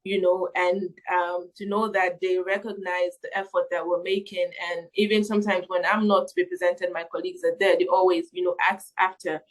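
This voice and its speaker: A young woman speaking as a missionary being interviewed, giving reflective answers in an earnest, halting way as she thinks aloud. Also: fairly low pitch, little pitch movement, rough recording. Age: young adult.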